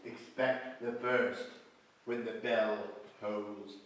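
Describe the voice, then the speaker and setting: A man's voice: fairly low pitch, steady tone, extremely slow, slight background noise. An older man on stage playing the solemn, tormented ghost of a dead businessman, declaiming his remorse theatrically. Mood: grave warning. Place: small indoor theatre room with audience. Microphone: far camera. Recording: echoey small hall, distant microphone.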